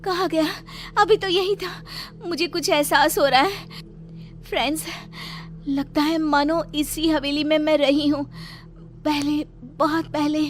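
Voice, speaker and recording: A woman's voice: sits high, steady tone, measured pace, faint music behind. A woman voicing an anxious young college girl with eerie premonitions in a horror cartoon, earnest and dramatic. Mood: alarmed, confused. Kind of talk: Hindi horror cartoon dubbing.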